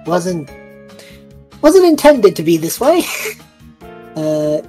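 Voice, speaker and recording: A woman playing a tabletop roleplaying game, speaking casually and haltingly in character. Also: lowish voice, sing-song, warm tone, faint music behind.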